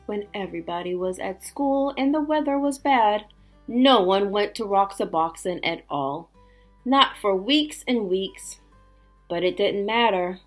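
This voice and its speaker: Woman teacher reading kids a story, takes her time, really expressive, soft music behind her. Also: medium pitch. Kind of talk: children's read-aloud with chatty asides.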